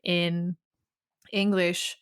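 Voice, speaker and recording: Lower-voiced woman host. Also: flat delivery, very slow, clean tone. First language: Swedish. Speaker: podcast host. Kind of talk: casual podcast conversation.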